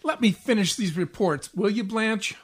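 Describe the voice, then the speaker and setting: A man's voice: high-pitched, normal speed, a bit bright, slightly rough. Man acting a grumpy henpecked 1940s radio husband. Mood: weary complaining. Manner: sarcastic, curt grumbling. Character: grumpy, exasperated, henpecked husband, a struggling salesman. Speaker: community theatre actor.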